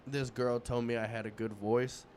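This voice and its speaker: Male host in his 30s, medium pitch, easygoing rambling chat, faint hiss in the background. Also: normal speed. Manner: relaxed, rambling, many restarts and fillers. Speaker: podcast host. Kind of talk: casual interview chat.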